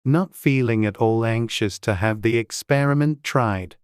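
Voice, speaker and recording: Very low-pitched woman storybook narrator. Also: measured pace, a little mellow, clean tone, no room sound, clean studio sound. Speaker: audiobook narrator.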